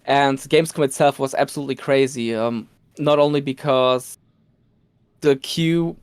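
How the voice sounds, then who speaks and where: A man whose first language is Polish, telling excited stories as a guest in a casual podcast chat. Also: medium pitch, moderate pace, smooth, no echo. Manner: excited storytelling with laughs and restarts.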